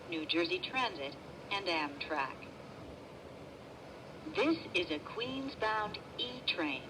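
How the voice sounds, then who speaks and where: Female transit announcer, slightly low pitch, measured scripted delivery in clipped segments, noticeably noisy vehicle-ambience recording. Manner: measured, formal, segmented phrasing. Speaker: train announcer.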